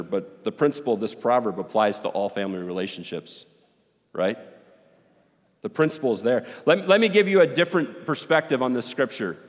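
A man preaching a sermon with a big voice, joking and animated, swinging between loud and quiet. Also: fairly low pitch. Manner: animated, joking, with rising and falling volume. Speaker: pastor. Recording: long hall reverb.

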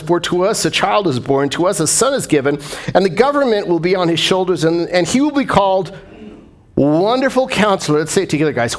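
A man preaching a lively, conversational sermon to his congregation, calling on them to respond. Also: on the high side. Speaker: pastor.

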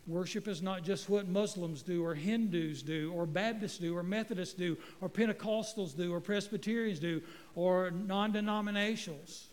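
A middle-aged guest pastor from North Carolina, preaching in a folksy, joking way with stumbling restarts. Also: male voice, high voice, moderate pace, a little mellow, long reverb tail. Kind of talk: sermon. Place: church sanctuary. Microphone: handheld microphone.

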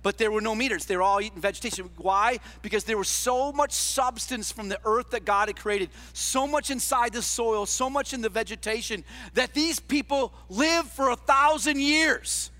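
A man preaching a sermon as a pastor, conversational and emphatic, tossing out rhetorical questions and swinging between raised and hushed delivery. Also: very high-pitched, normal speed, few pauses. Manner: conversational, with rhetorical questions and tags like "all right?". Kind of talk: sermon to a congregation.

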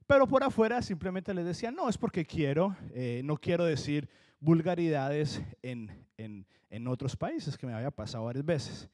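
A man from Colombia with a Colombian accent, preaching a sermon in an earnest, emphatic way and slowing down to stress key words. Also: a little high, animated, darkish tone. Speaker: pastor.

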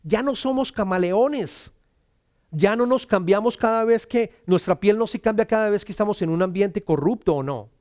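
A middle-aged man delivers a sermon in an earnest, personal way. His voice sits somewhat high for a man, and he often repeats words as he starts a thought. He sinks into a soft hush when quoting scripture, and the sound is dry and close. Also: normal speed, dry recording. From Colombia. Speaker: preacher. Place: church.

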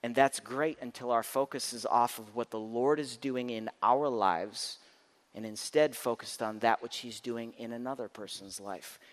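A man preaches to a live congregation, his mid-pitched voice swinging from loud, emphatic bursts down to quiet, intense lows. He speaks candidly into a mic, and the church hall carries a long, ringing reverb. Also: moderate pace. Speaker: guest preacher. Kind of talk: sermon. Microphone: handheld or stand mic.

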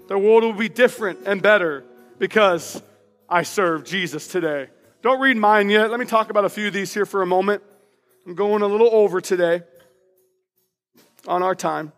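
A man preaching as pastor in a church, with a loud, passionate voice, reading personal declarations aloud with emphasis. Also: high voice, in a large hall. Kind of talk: sermon. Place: church sanctuary.

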